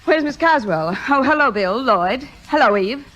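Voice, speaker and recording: An actress playing a proud, sharp-tongued, middle-aged stage star in theatrical 1950s radio drama style. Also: female voice, sits high, lively intonation, normal speed, lo-fi sound, slight background noise. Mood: sweet venom. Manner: sarcastic, theatrical, grand.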